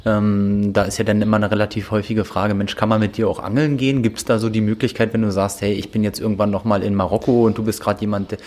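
A man hosting a podcast, asking friendly questions in a halting way with many fillers. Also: fairly low pitch, flat delivery, darkish tone. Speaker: podcast host. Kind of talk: casual podcast interviewing.

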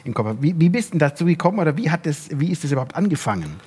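A man's voice: a little high, very animated, very fast, darkish tone, breathy edge. A man interviewing in a casual, colloquial way, with teasing banter. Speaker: interviewer, host. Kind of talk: casual chat.

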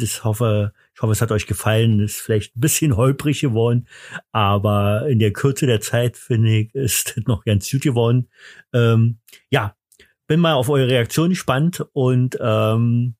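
A man hosting a podcast in casual chat, halting and repeating words as he searches for phrasing. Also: mid-range voice, a little mellow, no echo, studio quality. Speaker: podcast host.

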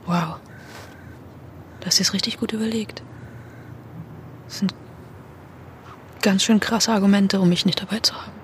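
An actress playing a proud, wary young climate activist in a naturalistic audio drama, talking in a casual, clipped, pointed way. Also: female voice, medium pitch, steady tone, normal speed, long pauses, a little hiss or hum, close to an everyday voice. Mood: hurt, sarcastic. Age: young adult. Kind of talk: naturalistic fiction podcast acting.

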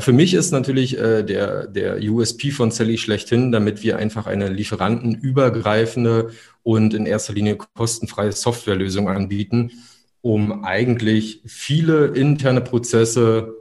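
A man's voice: fairly low pitch, darkish tone. A man from Berlin, a guest on a podcast, who explains things with frequent hesitations. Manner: many hesitation fillers, explanatory. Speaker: company executive guest. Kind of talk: podcast interview.

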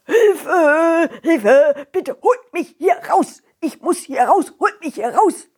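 A woman's voice: very high voice, animated, slow pace, voice pushed up, full character voice. A woman voicing a grumpy, boastful big male boulder in a children's audio story, blustering and whiny. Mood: pleading.

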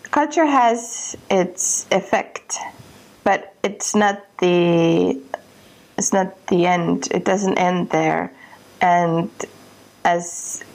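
Earnest low-voiced woman from Estonia. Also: drawn-out words, darkish tone, low-quality recording. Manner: earnest, thoughtful, many restarts. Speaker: missionary guest. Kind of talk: podcast interview.

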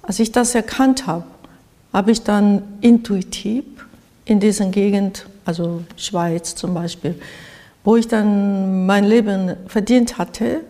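An older woman from Japan with a Japanese accent, giving reflective interview answers haltingly as she searches for words. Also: medium pitch, dark tone. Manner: halting, searching for words in non-native phrasing. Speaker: interviewed artist. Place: art studio in Berlin, indoors. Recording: reverberant, echoey room.

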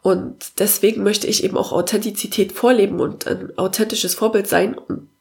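A woman's voice: mid-range voice, fairly even intonation, normal speed, vocal fry, darkish tone. A young German woman with a cold, hosting her own coaching podcast in an earnest, encouraging way with many filler sounds. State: has a cold. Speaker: podcast host and parenting coach. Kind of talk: casual spoken monologue.

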